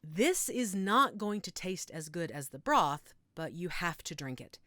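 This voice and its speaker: Woman acting a frank, caring werewolf, low dry voice. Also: sing-song, unhurried, pushed and bright, no room sound, exaggerated voice. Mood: soothing, practical. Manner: matter-of-fact, reassuring, wry. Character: frank, caring female werewolf tending a frightened newcomer. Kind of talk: audio roleplay drama, one-sided conversation.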